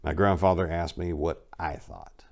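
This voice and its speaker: A low-voiced man reads his own life story aloud in the first person. He is blunt and wry, and now and then he jumps up in pitch and volume to punch a line. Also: steady tone, normal speed, darkish tone. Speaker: narrator reading a memoir aloud. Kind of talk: first-person dramatic reading.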